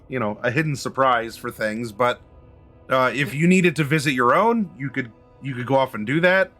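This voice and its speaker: Guy game mastering, kinda high squeaky voice, stop-start improv narration, music in back. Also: bright, forceful tone. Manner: halting, with frequent throat clearing.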